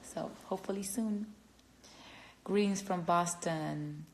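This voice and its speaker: A woman from Cuba with a Cuban Spanish accent, chatting casually on a phone livestream, halting and gushing as she answers fans. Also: on the low side, low-quality recording. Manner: halting, searching for words, gushing.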